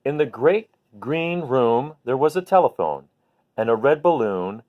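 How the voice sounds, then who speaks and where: A man hosting a children's bedtime read-aloud, talking gently and simply to viewers in an unhurried way. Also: medium pitch, expressive. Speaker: host reading picture books to children. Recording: phone-like narrow-band sound.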